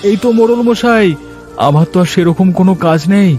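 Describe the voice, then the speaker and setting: A man's voice: high-pitched, brisk, darkish tone, soft background music, close to an everyday voice. A man playing a hardworking male fish seller, polite but testy, in a Bengali cartoon.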